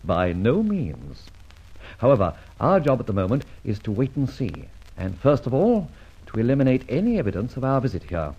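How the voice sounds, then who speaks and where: Suave, husky gentleman adventurer. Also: mid-range voice, huge pitch swings, moderate pace. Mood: brisk reasoning. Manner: urbane, wry, commanding. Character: suave, self-assured gentleman adventurer and amateur sleuth. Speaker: narrator and lead actor. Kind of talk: vintage radio adventure serial acting. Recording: dull old radio recording, music behind.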